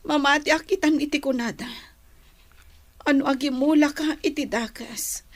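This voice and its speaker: An actress in a radio drama playing a troubled young daughter, earnest and reproachful about a former boyfriend. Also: female voice, sits high, fairly even intonation, normal speed, lightly acted. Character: troubled young woman, disillusioned former girlfriend and dutiful daughter. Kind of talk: Ilocano radio drama acting.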